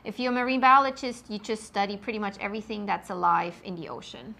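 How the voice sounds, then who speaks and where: A woman with a Swiss accent answers interview questions at a middling pitch. Her voice is bright and clear, and she explains things eagerly, filling pauses with ums. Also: a little hiss or hum. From Switzerland. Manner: enthusiastic, explanatory, many ums. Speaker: marine biologist guest.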